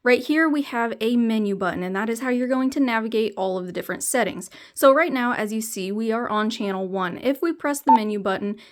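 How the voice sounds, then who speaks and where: A woman's voice: on the high side, fairly quick, few pauses. A woman reviewing a product, explaining its features plainly, step by step. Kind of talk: explanatory product demo narration.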